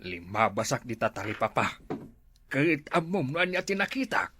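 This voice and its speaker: A man with an Ilocano accent and a husky voice playing a gossiping elder relative who stirs up suspicion in a comedy drama. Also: mid-range voice, big pitch swings, moderate pace, pushed and bright, slight background noise. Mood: insinuating. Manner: insinuating, warning.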